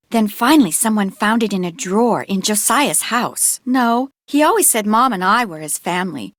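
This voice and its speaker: A woman's voice: on the high side, a bit bright, no echo, studio quality. A woman playing an anxious, grieving teenage girl in an adventure game, speaking in an earnest, hesitant way. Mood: wistful, fretful. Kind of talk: scripted adventure game dialogue.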